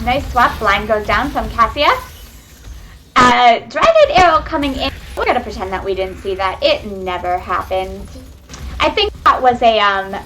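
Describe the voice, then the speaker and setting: A young woman calls a video game match live, chatty and quick to get excited, often talking straight to her viewers. Her voice sits a bit high and comes across bright and forceful. It's picked up on a webcam microphone at home with a faint hiss behind it. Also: rough recording. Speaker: esports caster. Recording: webcam mic with faint noise.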